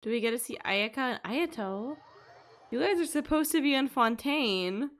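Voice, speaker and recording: A woman's voice: a little high, expressive, moderate pace. A woman game streamer giving casual live reactions as she plays.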